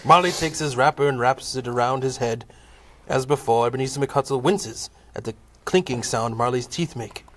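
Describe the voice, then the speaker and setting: A man narrating a scripted comic audio play in a measured, formal storytelling manner. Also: mid-range voice, a bit bright, a little hiss or hum.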